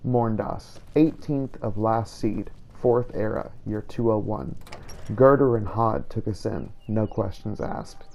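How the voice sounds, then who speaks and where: A man reading a solemn, measured in-character journal as host of a fantasy game playthrough. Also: fairly low pitch, lively intonation, gravelly, warm tone. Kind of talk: in-character scripted journal narration.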